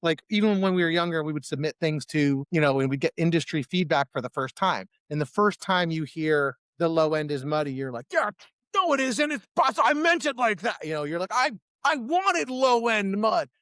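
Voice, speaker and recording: A man's voice: fairly high pitch, normal speed, hardly any pauses, no echo, studio quality. A man from Ohio, a musician, talking casually in an interview, rambling with many restarts and acting out his own past reactions. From Ohio, USA. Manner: rambling, many restarts and fillers, animated when quoting himself. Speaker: musician guest. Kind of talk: casual conversation.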